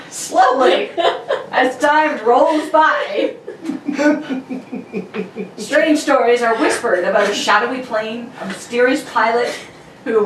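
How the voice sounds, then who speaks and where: A woman's voice: a little high, fairly bright tone. A woman narrating an amateur radio drama from a script, playfully and dramatically. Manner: playful, prone to giggling and ad-libs. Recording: roomy, distant mic with faint noise.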